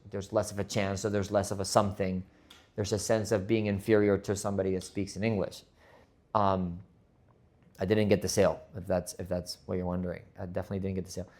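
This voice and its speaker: Male podcast host, earnest motivational monologue, slightly low voice, stumbles and restarts. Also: darkish tone. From Spain. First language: Spanish. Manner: earnest, repeats words as he restarts.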